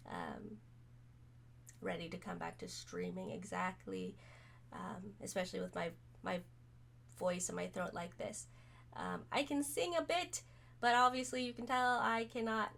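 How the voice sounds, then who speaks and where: A woman streamer chatting casually to camera, hoarse from being sick, rambling with many pauses and fillers. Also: medium pitch. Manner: rambling, many ums, soft-spoken. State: hoarse, recovering from illness.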